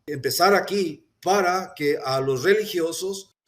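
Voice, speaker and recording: A man speaking earnestly at a press conference, deliberate, with hesitations and restarts. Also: sits high, fairly bright tone. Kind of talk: formal public statement.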